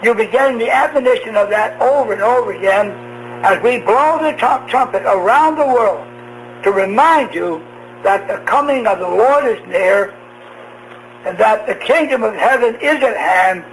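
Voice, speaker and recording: A man preaching a radio sermon in slow, halting phrases, posing rhetorical questions. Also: high voice. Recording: noisy humming shortwave radio broadcast.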